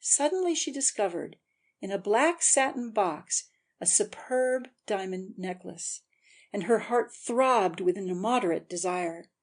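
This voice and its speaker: A woman's voice: medium pitch, animated, measured pace. A woman narrator giving a scripted, dramatic audiobook reading.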